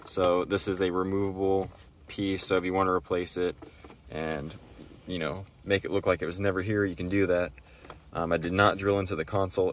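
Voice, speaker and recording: Male DIY presenter, low pitch, informal explanatory delivery, lightly noisy recording around a car. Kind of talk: casual hands-on walkthrough.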